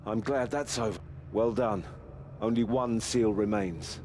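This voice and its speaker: A man's voice: on the low side, unhurried, a little mellow, a little hiss or hum, lightly acted. A man playing an earnest, virtuous knight adventurer in a fantasy video game, with a solemn delivery.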